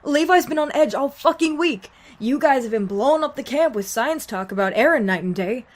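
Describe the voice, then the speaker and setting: A woman's voice: sits high, lively intonation, fairly quick. A woman acting a blunt, sardonic survivor in an audio drama, with casual, naturalistic delivery. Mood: exasperated, angry. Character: blunt, sweary, sardonic adult female survivor.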